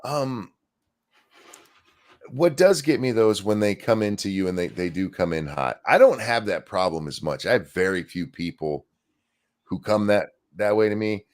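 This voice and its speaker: A man's voice: fairly low pitch, animated, normal speed. A man hosting a casual livestream chat, talking in a loose, rambling way with restarts. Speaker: host.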